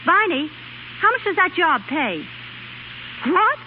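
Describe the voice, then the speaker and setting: A woman's voice: very high voice, big pitch swings, slow, heavily acted. A woman acting a determined, eager young wife in a 1930s radio drama. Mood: elated. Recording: tinny, hissy 1930s radio recording.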